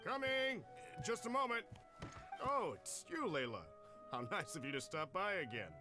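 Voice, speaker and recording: A man's voice: high-pitched, expressive, moderate pace, music in the background. A man voicing a friendly, grateful villager and family man in a game, with an earnest, courteous, welcoming manner. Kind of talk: game voice acting, storytelling dialogue.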